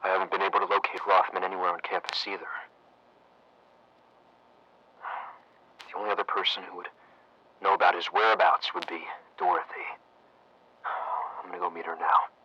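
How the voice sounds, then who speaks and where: A man playing a nervous 1960s history professor who talks in a hesitant, stammering, hushed way. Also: sits low, flat delivery, quick pace, breathy edge, lo-fi sound, heavily acted. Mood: shaken. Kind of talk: audio log narration.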